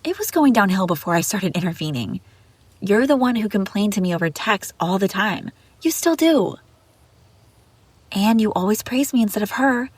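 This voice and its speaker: A woman's voice: medium pitch, slightly rough, dry recording. A woman playing an obsessive, scheming young babysitter in an intimate audio roleplay, pleading and defensive. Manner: pleading, defensive, hesitant then insistent. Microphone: close, dry microphone.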